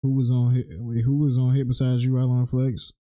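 A man's voice: medium pitch, monotone, a little fast, mellow. A man chatting casually over gameplay as a streamer, with slangy, profane, blunt talk. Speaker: game streamer. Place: indoors at a computer, livestreaming.

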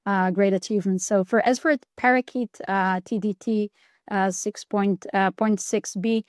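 A woman's voice: medium pitch, fairly even intonation, measured pace, dry recording. A woman in her thirties answers questions in an upbeat, conversational way, with loose phrasing. Her mid-range voice sounds dry and close through a laptop mic on a video call. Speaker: product manager. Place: indoors at home, virtual background. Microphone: webcam or laptop mic on a video call.

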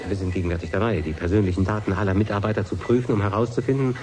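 An actor playing a grave professor in a radio drama, explaining in a precise, formal, deliberate way. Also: male voice, on the low side, a little mellow, lightly acted. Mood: grave, explanatory. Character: grave male professor explaining a risky project. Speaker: scientist.

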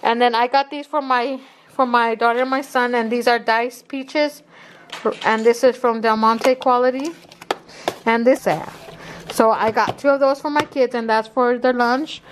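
A woman's voice: on the high side, fairly even intonation, moderate pace, a little hiss or hum. A woman in her thirties chatting casually at home as she shows her grocery haul to the camera, rambling with fillers. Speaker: vlogger. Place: home kitchen, indoors.

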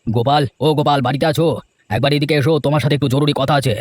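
A man playing a deep-voiced, dignified village gentleman. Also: fairly even intonation, racing, a little hiss or hum, close to an everyday voice. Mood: indignant. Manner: emphatic, repeats words. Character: dignified elderly village gentleman, food-loving, righteous benefactor. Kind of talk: Bengali fairy tale cartoon acting.

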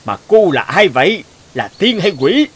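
A man's voice: high-pitched, big pitch swings, moderate pace, breathy edge, heavily acted. A man voicing a smitten ogre in a cartoon fairy tale, exclaiming in awe. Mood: amazed, smitten. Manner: exclaiming, awestruck. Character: smitten male ogre, mad about beauty.

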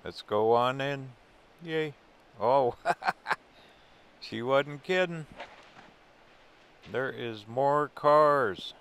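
Slow-talking older man touring a museum. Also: mid-range voice, fairly bright tone, clear, resonant tone. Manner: easygoing, wry, chuckling asides. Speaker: RV travel vlogger. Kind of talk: casual walk-through narration. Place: indoor museum buildings.

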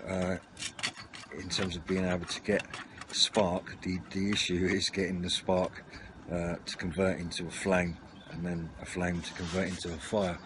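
A man giving an informal, slightly rambling and hesitant run-through to camera, recorded outdoors at a table on a camera mic. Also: low-pitched. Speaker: video channel host. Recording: noisy outdoor home recording.

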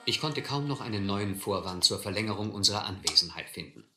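A man acting a shrewd, adventurous seafarer in a radio drama, deliberate and controlled with a touch of irony. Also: on the low side, expressive, sharp tone. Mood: reflective, suspenseful. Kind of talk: radio drama acting, first-person narration and dialogue. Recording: roomy, slightly noisy old radio-play recording.

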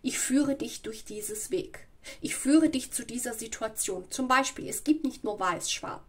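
A woman with a slight cold giving a spoken tarot reading at home, explaining slowly and often checking in with "ja" and "okay". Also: a little high, animated. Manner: slow, searching and explanatory, with frequent "ja" and "okay". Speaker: tarot reader. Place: home room with a tarot table.

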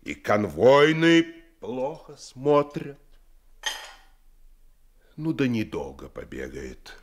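An actor playing a stern, imperious admiral and father in a radio drama, gruff and commanding. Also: medium pitch, exaggerated voice.

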